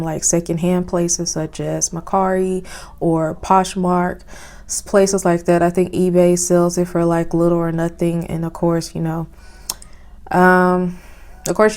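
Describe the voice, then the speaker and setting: A soft-spoken young woman, chatting casually with lots of fillers as she reviews cameras at home, recorded on a phone with a clip-on mic. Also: on the low side. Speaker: vlogger. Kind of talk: casual product review vlog. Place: home, indoors. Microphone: phone with clip-on lapel mic.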